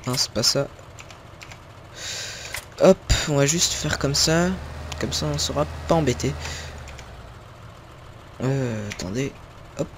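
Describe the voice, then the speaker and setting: A man's voice: mid-range voice, takes it slowly, long pauses, noisy background. A young man from northern France giving casual commentary on his own gameplay, rambling with many fillers and self-corrections as he talks to his viewers. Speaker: gaming video creator. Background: faint game sounds of tractors and farm ambience.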